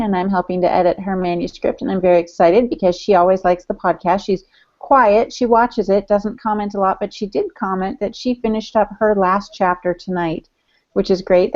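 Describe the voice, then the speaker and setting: A woman co-hosts a casual podcast in a mellow, unforced voice. Her talk is chatty and informal. The audio is somewhat muffled and lo-fi. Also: medium pitch. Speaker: podcast co-host. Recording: dull lo-fi audio.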